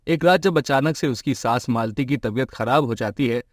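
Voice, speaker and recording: Casting: male narrator for moral tales, mid-pitched voice, scripted storytelling, very dry recording. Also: normal speed, no room sound.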